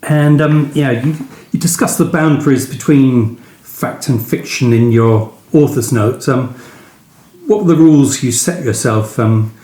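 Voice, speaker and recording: A man's voice: mid-range voice, unhurried, warm tone. A man hosting a conversational book interview, phrasing his questions tentatively. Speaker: interviewer, podcast host. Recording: roomy, echoey sound.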